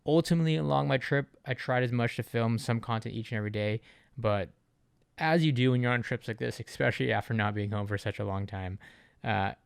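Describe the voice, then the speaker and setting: Man, from Japan, casual vlog host, creaky fry, close dry sound, conversational. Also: mid-range voice, a little fast, dry recording. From Japan, Okinawa. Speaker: vlogger, channel host. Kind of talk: casual vlog introduction talking to viewers.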